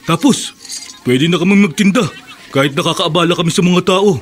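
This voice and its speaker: An actor in a Filipino radio drama playing a wary sidewalk vendor with something to hide. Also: male voice, high-pitched, a little fast, slight background noise, close to an everyday voice. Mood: scheming, reflective. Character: wary sidewalk vendor, a rebel hiding in the city.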